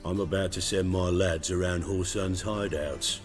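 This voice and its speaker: An actor playing a blunt, commanding gang boss in a video game. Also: male voice, low voice, steady tone, normal speed, over music, close to an everyday voice. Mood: blunt, businesslike. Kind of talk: video game cutscene acting.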